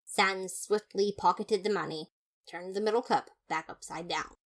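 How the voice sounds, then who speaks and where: A pompous, easily exasperated skeleton detective, played by a woman tells a story in the first person. The mid-pitched voice is theatrical and haughty, full of fastidious disapproval. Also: natural voice. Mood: dismayed.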